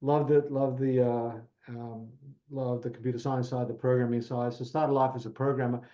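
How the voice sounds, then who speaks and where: An Australian man with an Italian mother tongue talks through his career in a relaxed, chatty way, mid-pitched and even. The audio is slightly dull and lo-fi, like a remote call. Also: moderate pace. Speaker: guest. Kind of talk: casual podcast conversation.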